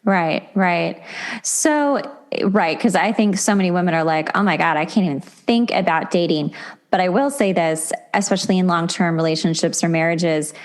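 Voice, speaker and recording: A woman's voice: fairly low pitch, normal speed. A woman hosting a casual conversational interview in an informal, empathetic way. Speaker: podcast host.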